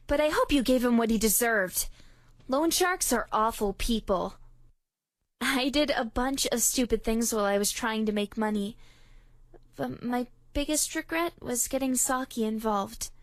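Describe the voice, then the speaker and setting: A woman voicing a sweet, anxious teenage schoolgirl in a game, who speaks softly and politely and often pleads or sounds shy. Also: a little high, no echo, clean studio sound, close to an everyday voice. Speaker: voice actress for a game character. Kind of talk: game voice lines.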